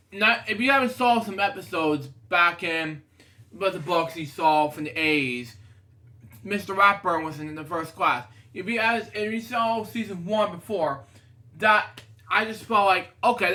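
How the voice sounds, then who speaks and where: A man's voice: high voice, sharp tone. A man reading a children's picture book aloud casually, often breaking off into rambling, digressive asides. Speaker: storybook reader and show host.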